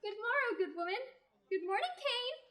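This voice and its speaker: A young woman playing an excitable, naive nun who gushes and babbles in an amateur audio drama. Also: very high-pitched, moderate pace, background noise. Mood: giddy, eager. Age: young adult. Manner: gushing, chatty.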